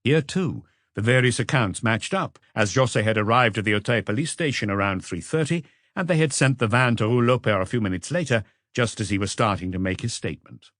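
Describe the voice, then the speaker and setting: A man's voice: medium pitch, fairly quick, few pauses, a bit bright, no echo, clean studio sound. A man reading a mystery novel aloud as a scripted audiobook narration.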